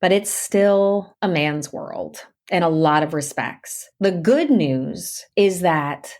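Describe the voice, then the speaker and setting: A woman's voice: on the low side, expressive, slow, a little mellow. A woman speaking as a podcast guest in a casual, candid conversation, stressing points by repeating words and phrases. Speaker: interview guest, audiobook narrator and actor.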